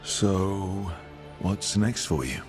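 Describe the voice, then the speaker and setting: An actor playing a supportive, wry male hero in a fantasy video game, calm and lightly teasing. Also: sits low, extremely slow. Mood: reassuring. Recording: loud music behind the voice.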